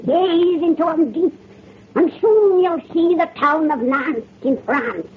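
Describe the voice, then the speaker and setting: A woman's voice: very high voice, exaggerated voice. A woman playing a gleefully sinister, cackling hundred-year-old witch who tells tales in a cracked voice and rustic old dialect, in 1930s radio horror style. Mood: ominous. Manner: rustic archaic dialect, slow and gloating. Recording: noisy, tinny old radio recording.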